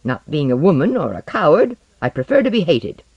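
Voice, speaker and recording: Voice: mid-range voice, very animated, normal speed, no echo, lo-fi sound, voice pushed up. Here is a reader acting out a misanthropic loner man in an audiobook story. His voice is raised and swings widely, with cutting, precise scorn and open jeering. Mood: scornful. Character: bitter, sneering misanthropic man, contemptuous loner.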